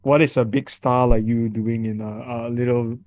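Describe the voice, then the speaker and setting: A man's voice: mid-range voice, steady tone, normal speed, darkish tone, dry recording. A man bantering casually on a podcast in a playful, joking way. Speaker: podcast co-founder and guest.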